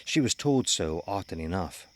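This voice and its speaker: A man's voice: low-pitched, lively intonation, moderate pace. A man reading a scripted horror story as its narrator.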